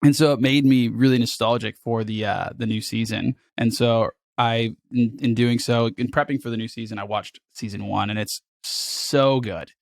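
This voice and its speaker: A sick man with a raspy voice, co-hosting a podcast in a laid-back, joking way. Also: mid-range voice, steady tone, normal speed, hardly any pauses, no echo, clean studio sound. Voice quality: raspy, gravelly. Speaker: podcast co-host. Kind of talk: casual podcast chat.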